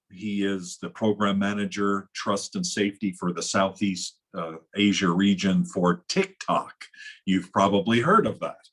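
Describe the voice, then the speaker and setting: A deep, husky male voice hosting a video-call panel. He speaks in a measured way, often restarting his phrases with small fillers. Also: fairly bright tone. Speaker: webinar moderator. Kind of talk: online panel moderation.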